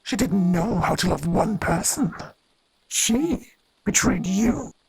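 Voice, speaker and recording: A woman playing a sinister, seductive inner voice that coaxes and feeds obsession in a horror radio drama, husky and breathy. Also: medium pitch, expressive, takes it slowly, darkish tone, poor audio. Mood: coaxing, scornful. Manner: coaxing, insistent.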